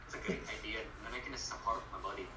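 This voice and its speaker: A young man in casual, halting chat as a guest on a friend's voice-call live stream. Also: lowish voice, steady tone, bright, forceful tone, a little hiss or hum. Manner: rambling, halting, restarts phrases. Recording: echoey room, far from the mic.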